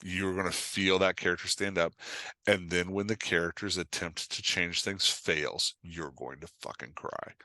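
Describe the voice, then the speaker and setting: Deep voiced guy, forceful and punchy, explaining writing stuff in a casual interview, really dry sound. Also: steady tone, moderate pace, dry recording. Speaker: guest, writing coach and author.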